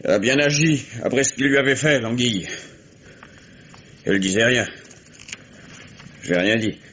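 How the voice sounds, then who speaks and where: A man's voice: medium pitch, expressive, normal speed, fairly bright tone, natural voice. A man playing an earnest lovesick young fisherman. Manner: earnest, pleading. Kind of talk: 1950s French radio drama acting.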